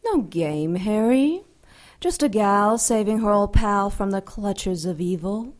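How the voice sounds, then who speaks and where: A woman playing a seductive, vain ancient dark angel in an audio drama, teasing and theatrical, savoring her words with amused menace. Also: mid-range voice, lively intonation, takes it slowly. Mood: sly, teasing.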